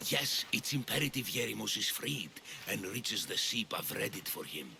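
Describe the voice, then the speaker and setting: A man's voice: lowish voice, big pitch swings, normal speed, creaky. A man acting a stern, proud and scheming Athenian general in a video game, with a commanding, curt manner. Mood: guarded, insistent. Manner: commanding, curt, self-important. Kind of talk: scripted video game dialogue.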